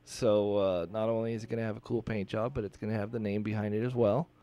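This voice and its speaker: A man's voice: on the low side, level tone, fast, warm tone, lo-fi sound. A man hosting a radio show, chatting casually and laughing easily into a studio microphone.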